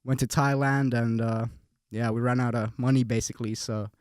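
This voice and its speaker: A young man from Finland, a podcast guest, speaks at a mid pitch in a laid-back, easygoing way. He often repeats words as he searches for what to say. The recording is close and dry. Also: steady tone, moderate pace, darkish tone, no echo. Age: young adult. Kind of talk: casual conversation.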